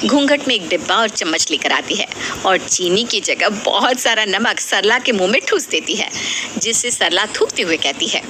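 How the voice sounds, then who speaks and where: A woman's voice: mid-range voice, lively intonation, moderate pace. A woman reading a scripted moral story as the storyteller. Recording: clearly noisy background ambience.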